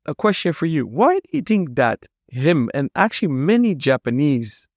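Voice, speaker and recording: A man's voice: a little high, sing-song, normal speed, dry recording, studio quality. A man with a Dutch accent hosting a podcast, asking eager questions in casual interview chat. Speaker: podcast host.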